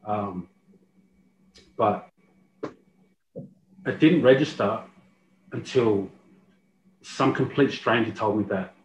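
A man from Australia with an Australian accent, speaking softly and hesitantly in a casual interview, with many ums. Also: fairly low pitch, slow, long pauses. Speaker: rugby league player, guest. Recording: roomy, muffled, faint hiss.

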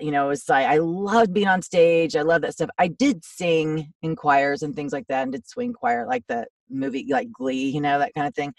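A woman in her fifties with a low, raspy, gravelly voice talks loosely and at length, laughing at herself as she goes. She sounds a little back from the microphone in a dry, dead room. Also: no room sound, recorded from a distance. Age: 50s. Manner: chatty, rambling, self-deprecating. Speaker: voiceover actor guest. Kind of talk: casual podcast interview.

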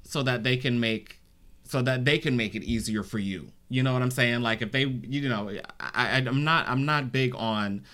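A man with a bright, forceful tone talks in loose group conversation. He circles his points, repeats phrases and keeps checking in with the others. His pitch sits mid-range. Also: moderate pace. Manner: rambling, repeats himself, animated when joking. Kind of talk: casual group discussion.

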